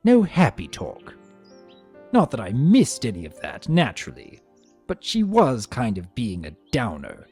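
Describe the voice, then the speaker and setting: A man voicing a vain, spiteful, sarcastic trickster creature trapped as a statue, telling its story with theatrical, sneering, drawn-out emphasis. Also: mid-range voice, huge pitch swings, a little mellow, slightly rough, heavily acted. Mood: mocking, grudging. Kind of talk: dramatic first-person story reading. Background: music.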